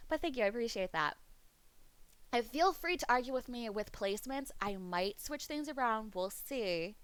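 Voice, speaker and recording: A woman streamer chatting casually on a live webcam stream, rambling, laughing often, and going hoarse as she loses her voice. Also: fairly high pitch, animated, normal speed, fairly bright tone, no echo. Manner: rambling, laughs often, reads chat aloud. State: losing her voice. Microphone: desk mic on a webcam stream.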